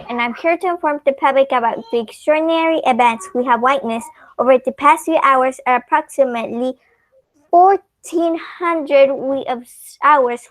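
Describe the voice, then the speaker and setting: Brief: actress as a bumbling lady general, high voice, stumbling official delivery, close dry recording. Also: no room sound. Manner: halting, garbles words. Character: flustered, bumbling female army general at press briefing. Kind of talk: comic radio play acting.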